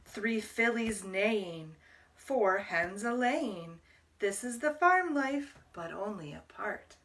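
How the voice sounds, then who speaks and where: Slow-paced woman reading to children, slightly distant. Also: mid-range voice, lively intonation, a bit bright. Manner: deliberate, explains words to young listeners. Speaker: library storytime reader. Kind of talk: children's picture book read-aloud.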